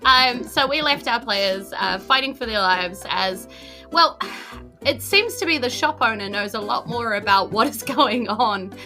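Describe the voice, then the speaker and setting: Bright, animated woman game master. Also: on the high side, measured pace, faint music behind. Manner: excited. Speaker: dungeon master, host. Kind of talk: narrating a recap for a tabletop game podcast.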